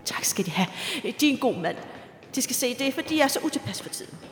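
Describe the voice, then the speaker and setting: An actress playing an indignant, sharp-witted parishioner in a comic radio drama. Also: female voice, on the high side, expressive, soft background music, natural voice. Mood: worried, confiding. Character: indignant, sharp-witted married woman parishioner, devoted dog owner. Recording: echoey reverberant church sound.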